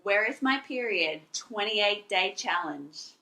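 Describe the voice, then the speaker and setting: A woman's voice: medium pitch, animated, slow, heavy background noise. A woman hosting and introducing a documentary series in an upbeat, scripted presenting style. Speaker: host and creator of the challenge. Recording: echoey room, music behind.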